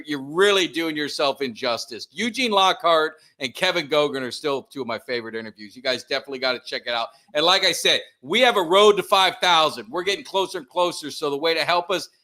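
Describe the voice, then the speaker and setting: A man's voice: fairly high pitch, pushed and bright. Upbeat middle-aged man hosting a stream. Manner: upbeat, plugs the channel often. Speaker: podcast host. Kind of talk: casual live-stream chat.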